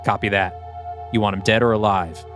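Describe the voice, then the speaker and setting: A man's voice: fairly low pitch, fairly even intonation, fairly quick, over music, close to an everyday voice. A man voicing a clipped, businesslike male military operative in an audio play. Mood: terse, cold.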